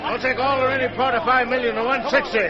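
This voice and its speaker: An actor playing a frantic, stubborn gold speculator shouting insistent bids in a 1940s radio drama. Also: male voice, extremely high pitch, little pitch movement, fast. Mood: frantic, defiant. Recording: muffled old radio, faint crowd.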